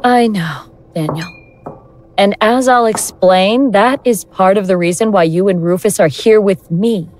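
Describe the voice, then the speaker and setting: A woman's voice: mid-range voice, a little mellow, natural voice. A woman acting in an audio drama as a mocking elder god in the guise of a young woman, teasing and condescending. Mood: knowing, amused. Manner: slow, teasing, condescending, self-possessed.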